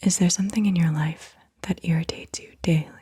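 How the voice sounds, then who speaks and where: A woman speaking in a soft, breathy whisper, gently reading out questions in an ASMR style. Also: on the low side, fairly even intonation, a little fast. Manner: hushed, gentle delivery. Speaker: ASMR host. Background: computer keyboard typing.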